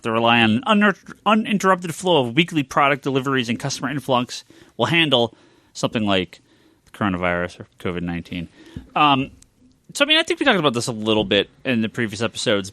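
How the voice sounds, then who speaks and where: An American man with a bright, forward voice talks through things as a podcast host. His pitch swings in a lively way, and he repeats phrases while thinking aloud. The sound is dry and close. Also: dry recording. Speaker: podcast host, comic shop owner. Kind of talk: casual podcast discussion.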